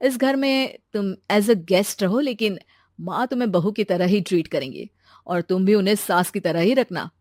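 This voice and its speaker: A woman plays a sensible young groom in a cartoon story, talking calmly and persuasively. The pitch stays at a middle level. The recording is dry and close. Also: normal speed, no room sound, close to an everyday voice. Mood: earnest. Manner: calm, persuasive. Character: reasonable young man, the groom. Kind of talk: cartoon voice acting.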